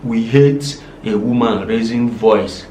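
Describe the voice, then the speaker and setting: A man's voice: medium pitch, slow, warm tone. A man with a Nigerian accent, chatting casually as co-host and pressing his point with emphatic rhetorical questions. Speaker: co-host, husband. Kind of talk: casual chat. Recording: echoey room, faint noise.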